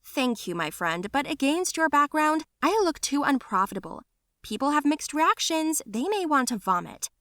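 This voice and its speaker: A woman putting on a high sugary friend voice, smug and patronizing, bright and dry. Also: big pitch swings, normal speed, no room sound.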